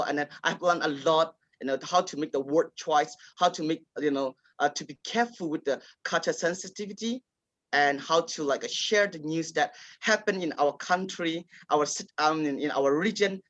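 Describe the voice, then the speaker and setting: A man's voice: a little high, fairly bright tone, no echo. Man, dry close sound. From Kachin State, Myanmar. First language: Kachin. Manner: halting, many fillers and restarts. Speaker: local journalist, panelist. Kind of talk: panel discussion answer.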